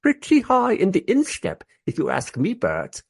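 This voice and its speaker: A man's voice: high voice, huge pitch swings, moderate pace, vocal fry, breathy edge, full character voice. An actor playing a rowdy, crude, drunk Regency Englishman with boisterous tipsy banter in a comic radio play. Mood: mocking.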